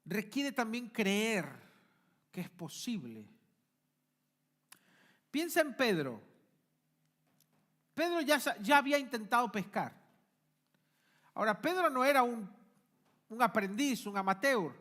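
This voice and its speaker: A male pastor, preaching a sermon emphatically, swinging between forceful peaks and quiet asides. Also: extremely high pitch, sing-song, slow pace, long pauses. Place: church.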